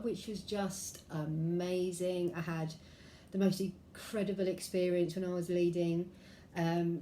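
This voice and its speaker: A woman with a head cold, her voice a little croaky, giving a chatty angel card reading at home with many ums and throat clearings. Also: on the low side, mellow, slight background noise, poor audio. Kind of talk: casual spiritual vlog talk. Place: room at home by a window.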